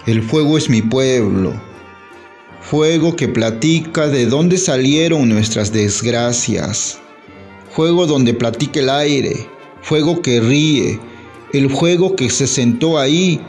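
Slow, solemn poet reciting. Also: male voice, mid-range voice, lively intonation, darkish tone, soft background music. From Sierra Sur of Oaxaca, Mexico. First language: Zapotec. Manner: measured, solemn recitation with long pauses. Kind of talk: recited poetry reading.

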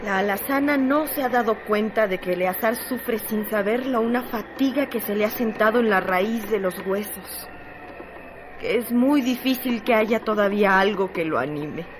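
A woman's voice: mid-range voice, normal speed, background noise, lightly acted. A woman playing the sober narrator of a bleak literary tale in a radio drama.

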